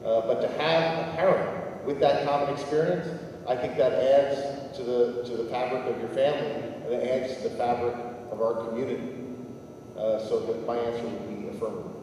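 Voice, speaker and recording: Mellow-voiced man on a panel. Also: medium pitch, fairly even intonation, slight background noise. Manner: measured, hesitant with fillers. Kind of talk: panel answer. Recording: echoey hall, distant mic.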